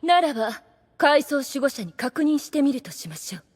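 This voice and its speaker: High, dramatic, haughty demoness. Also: female voice, very animated, moderate pace, no room sound. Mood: probing, imperious. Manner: imperious, refined feminine phrasing. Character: haughty, scheming, obsessively devoted demoness overseer. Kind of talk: anime audio drama acting.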